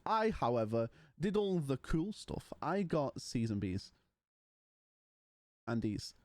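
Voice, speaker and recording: A man's voice: medium pitch, big pitch swings, slow, a little mellow, dry recording. A man, casually chatting and bantering with his livestream chat. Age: 20s. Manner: banters with chat, mocking and playful. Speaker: streamer.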